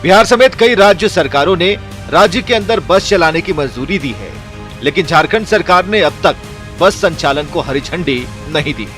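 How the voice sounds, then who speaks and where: High-pitched man narrating news reports. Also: lively intonation, normal speed, a bit bright, faint music behind. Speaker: news voice-over presenter.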